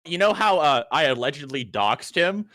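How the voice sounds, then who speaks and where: Guy on a voice call, slangy n sweary, highish bright voice. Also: lively intonation, normal speed, no echo, studio quality. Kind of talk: casual chat on a recorded voice call.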